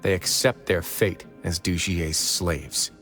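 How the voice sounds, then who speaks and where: A brooding prison warden with a low, steady voice. He speaks in a grave, measured way and lingers over philosophical points. Faint game music plays behind him. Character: calm, brooding adult male prison warden. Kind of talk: video game voice acting.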